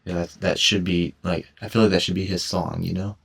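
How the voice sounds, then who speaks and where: A teenage boy speaks in a low, gravelly voice with a lot of vocal fry. He rambles casually into a cheap mic at home, stumbling and restarting his sentences in a self-conscious way. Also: little pitch movement, fairly quick, a bit bright. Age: teens. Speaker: gaming commentator. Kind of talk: rambling casual commentary over gameplay. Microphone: cheap headset mic.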